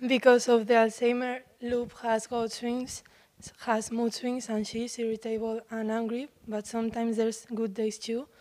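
A sixteen-year-old girl reads her part of a class presentation into a handheld mic in a meeting room. Her voice sits a bit high and stays flat, with little rise and fall. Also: measured pace, few pauses. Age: teens. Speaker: student presenter.

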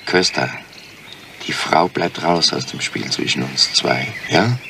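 An actor playing a stubborn, sardonic criminal in a crime radio play, talking bluntly and guardedly. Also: male voice, rumbling low, takes it slowly, vocal fry, full character voice. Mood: stubborn warning. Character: hard-boiled male criminal, stubborn, sardonic, bargaining with police. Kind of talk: crime radio drama acting. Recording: noisy old radio play.

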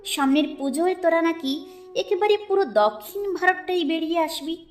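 A woman reciting a poem dramatically, with expressive, measured delivery and deliberate pauses. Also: very high-pitched. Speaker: poetry reciter.

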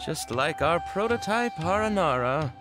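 A worried middle-aged villager who hesitates and pleads, his voice swinging very widely in pitch. Game music is clear behind him. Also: very animated, moderate pace. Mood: pleading. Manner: hesitant, pleading, many ums. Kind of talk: game cutscene dialogue.

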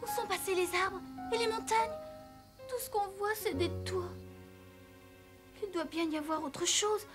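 A woman's voice: very high-pitched, measured pace, long pauses. A woman voicing an innocent, earnest little mountain girl in a French-dubbed cartoon. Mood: homesick. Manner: wide-eyed, earnest, artless. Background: music.

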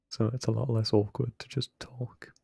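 Soft-spoken man, gravelly and breathy. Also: medium pitch, no echo, clean studio sound. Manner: soft-spoken, rambling, self-deprecating. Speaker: let's play creator. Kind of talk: casual late-night gameplay commentary. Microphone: close desk microphone, no webcam.

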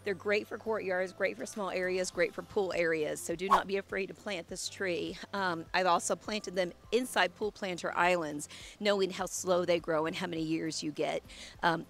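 Woman in her 30s, kinda gravelly, losing her voice, chatty garden tour outside w/ a handheld mic. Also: fairly low pitch. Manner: chatty, enthusiastic. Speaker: landscape designer. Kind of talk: casual garden walkthrough. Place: outdoor home garden.

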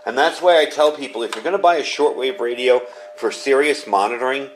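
A man's voice: mid-range voice, a little fast, poor audio. A man giving a casual hands-on product review in a conversational, enthusiastic way. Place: indoor workshop room at a desk.

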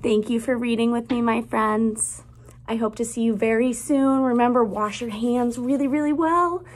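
A woman in her twenties, a teacher reading a picture book aloud to young children in a deliberate, child-directed way. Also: on the high side, steady tone. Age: 20s. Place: small classroom, indoors.

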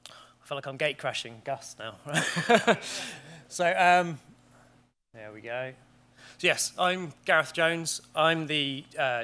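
A British man in his thirties giving a conference talk in a large wood-panelled auditorium, measured and steady, with frequent ums and restarts. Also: mid-range voice, clean tone. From Great Britain. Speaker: conference speaker. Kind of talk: conference presentation.

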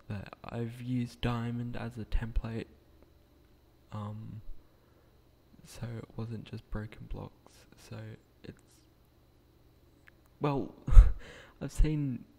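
A young man talks quietly and a bit hesitantly, restarting his sentences as he narrates a game build. His voice is croaky and gravelly, mellow in tone and fairly flat in pitch. A faint hiss sits under the recording. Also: slow pace, long pauses. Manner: hesitant, rambling, with frequent restarts; speaking quietly at night. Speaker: gaming channel creator.

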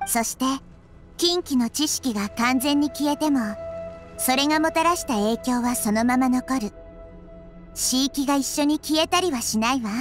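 Woman voicing a high-voiced, gentle little girl deity. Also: moderate pace, faint music behind, lightly acted. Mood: calm, explaining. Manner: calm, thoughtful, softly explanatory. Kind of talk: Japanese anime game voice acting.

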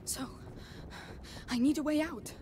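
A woman's voice: sits high, steady tone, measured pace, noisy background. An actress playing a frightened but determined young woman in a video game, breathless and anguished as she searches for her brother. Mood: dazed, muttering. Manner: breathless, anguished, muttering to herself and calling out. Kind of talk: dramatic video game acting.

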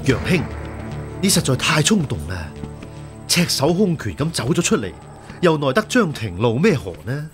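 An actor with a Hong Kong Cantonese accent playing a shrewd, steady imperial censor who reasons persuasively in a radio drama. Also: male voice, a little high, normal speed, music in the background. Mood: cautioning, concerned.

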